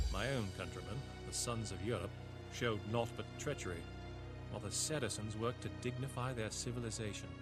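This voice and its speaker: An actor playing a weary captive European knight, narrating a video game campaign in a measured, solemn way. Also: male voice, lowish voice, lightly acted. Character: weary captive European knight chronicling his captors' war. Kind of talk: scripted dramatic narration.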